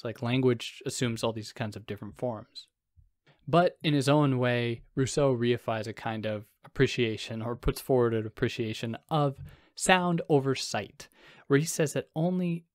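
A man's voice: mid-range voice, dry recording. Man, soothing voice, casual podcast host, rambling philosophy talk, dry close sound. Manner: relaxed, rambling, self-deprecating. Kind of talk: casual solo philosophy lecture.

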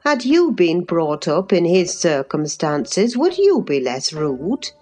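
A woman reading aloud, voicing an earnest older housekeeper who gently scolds in a lower, measured voice. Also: sing-song, soft background music. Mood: earnest reproof.

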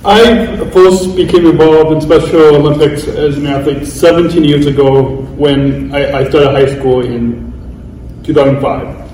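A man in his thirties reads prepared remarks on a panel, steady but catching on a word now and then. His voice is soft and mellow and sits a little high. It comes from away from the mic in a big echoing hall. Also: a little slow, slight background noise. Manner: reads steadily, trips on some words. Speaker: panelist, athlete speaker. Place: large event hall. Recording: distant mic in a roomy hall.

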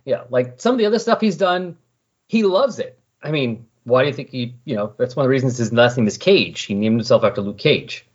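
Male, husky voice, casual chat, enthusiastic storyteller, dull lo-fi recording. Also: medium pitch, animated, a little fast. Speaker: podcast guest. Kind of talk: casual comic book discussion. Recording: muffled lo-fi audio.

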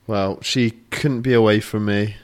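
A young man with a fairly low voice co-hosts a casual podcast. He talks in an easygoing way and likes to tease. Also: fairly even intonation, unhurried. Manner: laid-back, playfully mocking. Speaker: podcast co-host. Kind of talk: casual chat.

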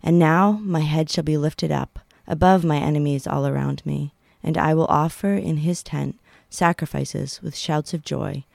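A guest reader giving a solemn, careful and deliberate reading of a Bible psalm. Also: medium pitch, fairly even intonation, gravelly, darkish tone, dry recording, studio quality.

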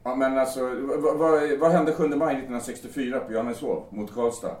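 A man's voice: medium pitch, steady tone, darkish tone, lightly acted. An actor playing a volatile, desperate man in an audio drama, speaking bluntly and sardonically with swearing. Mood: challenging. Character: volatile, suspicious, desperate man threatening to jump. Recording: roomy sound, distant from microphone.

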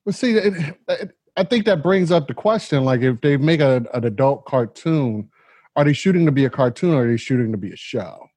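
A man co-hosting a podcast in casual chat, teasing and quick to agree, on a home mic over a video call. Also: medium pitch, animated, a little mellow, husky, dry recording. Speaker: podcast co-host.

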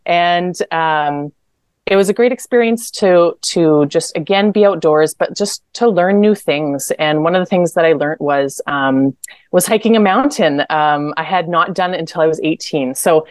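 A woman's voice: sits low, moderate pace, no room sound. A young adult woman from Manitoba, Canada, chatting as a podcast guest, with lots of fillers and restarts. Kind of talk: casual interview chat.

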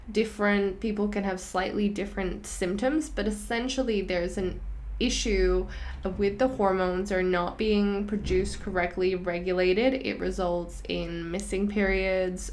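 A woman, a spiritual healing teacher speaking earnestly and gently to viewers in short, flowing phrases. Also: medium pitch. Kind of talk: personal monologue to viewers.

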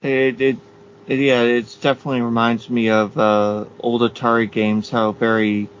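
A man's voice: medium pitch, little pitch movement, normal speed. Joking podcast guy, mid voice, bit of hiss, dull sound. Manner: teasing, joking. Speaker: podcast co-host. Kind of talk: casual banter. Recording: lo-fi with faint noise.

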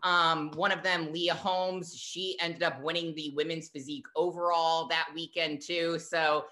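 A fitness coach talking in a casual interview, animated and chatty, with lots of fillers. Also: mid-range voice, a little slow.

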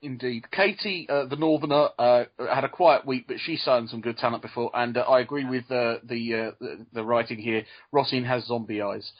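A playful man co-hosting a podcast, with a husky, slightly rough voice at a middle pitch. He jokes his way through the chat. The sound is dull and lo-fi. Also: steady tone, normal speed, few pauses. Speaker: podcast co-host. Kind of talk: casual chat.

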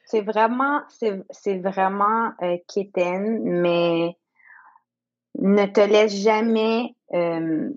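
A woman's voice: medium pitch, extremely slow, dull audio. A woman in her thirties, a native English speaker, speaking French as a guest in an interview, reflective and searching for words. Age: 30s. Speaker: guest entrepreneur. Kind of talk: candid conversational interview.

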